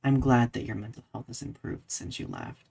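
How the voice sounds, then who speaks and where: A man with a mid-pitched voice hosts a live discussion podcast. He talks casually and conversationally, sprinkling in "like" and tagging points with "right?" as he goes. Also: wildly swooping pitch, moderate pace, creaky, mellow, slightly rough. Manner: conversational, peppers talk with "like" and "right?". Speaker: podcast host.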